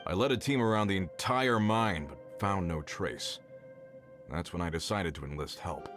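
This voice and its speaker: An actor playing an earnest, worried mine foreman in a video game who speaks gravely. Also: low voice, normal speed, a bit bright. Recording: game audio with background music. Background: game music.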